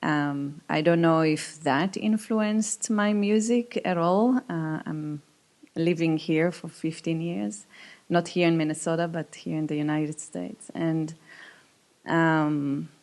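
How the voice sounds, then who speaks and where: Israeli accent woman, low slow voice, kinda halting, talking on a panel. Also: darkish tone. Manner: halting, thoughtful. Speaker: composer, panelist. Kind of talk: panel discussion, answering audience questions. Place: live event venue with audience.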